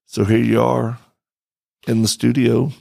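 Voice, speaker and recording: A man's voice: mid-range voice, monotone, a little slow, vocal fry, warm tone, no echo. A man hosting a podcast in a studio, chatting casually with a guest in a joking, blunt and direct way. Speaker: podcast host. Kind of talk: casual interview chat.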